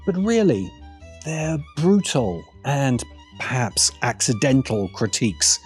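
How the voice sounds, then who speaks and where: A man's voice: mid-range voice, darkish tone. Sardonic male video essayist over faint music. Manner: sardonic, emphatic, deliberate phrasing. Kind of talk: scripted video essay narration. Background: faint background music.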